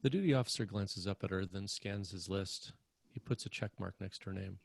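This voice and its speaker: A man reading screenplay stage directions as scripted narration, with a husky, gravelly voice. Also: low voice, a little fast, no echo.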